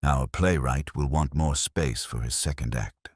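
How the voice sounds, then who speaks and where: A man voicing a mild, shrewd, unhurried police inspector in an understated, musing way for a mystery story. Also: extremely low pitch, slightly rough, no room sound, clean studio sound, exaggerated voice. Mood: dry musing.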